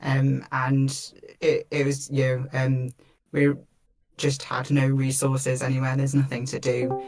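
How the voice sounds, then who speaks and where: A man's voice: medium pitch, completely flat delivery, measured pace. A man from Wales chatting casually and telling personal stories. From north Wales. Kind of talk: casual chat, personal storytelling.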